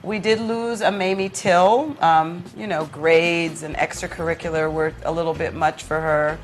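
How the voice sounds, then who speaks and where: A woman's voice: low voice, steady tone, moderate pace. A woman teacher speaking as an interview guest, earnest and explanatory in a conversational style.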